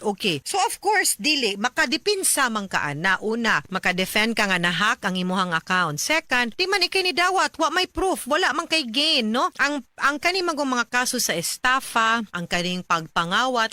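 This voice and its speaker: A woman's voice: medium pitch, bright, forceful tone, no echo. A woman, a lawyer who hosts a radio advice show and answers a listener's letter, chatty and mixing in English with frequent tag questions.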